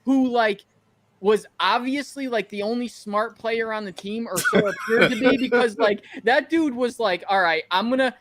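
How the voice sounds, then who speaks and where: A man with a high-pitched voice speaks as a podcast co-host. He rants bluntly and casually, swears freely and slips into imagined quotes to make his point. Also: moderate pace, very noisy. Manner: blunt, ranting, profane, acts out imagined quotes. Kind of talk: casual sports banter.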